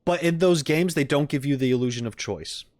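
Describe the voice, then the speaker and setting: A man's voice: fairly high pitch, fairly quick, darkish tone. A man chatting casually to his stream viewers as he reacts to game videos, informal, joking and blunt.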